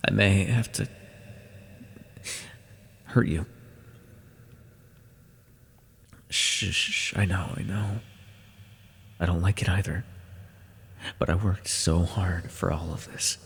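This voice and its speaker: An ancient immortal villain, acted by a man, brooding and tormented yet tender. His lowish voice creaks heavily and moves very slowly, with dramatic halts, as in an intimate fantasy audio roleplay. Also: fairly even intonation, a bit bright, heavily acted. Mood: reluctant menace. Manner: slow, halting, dramatic delivery with sighs and pauses.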